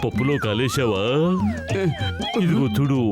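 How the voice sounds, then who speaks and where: A man's voice: mid-range voice, expressive, a little slow, lightly acted. An actor playing an odd, boastful man in a cartoon, self-assured and coaxing. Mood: explaining. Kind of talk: cartoon dub acting. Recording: music under the voice.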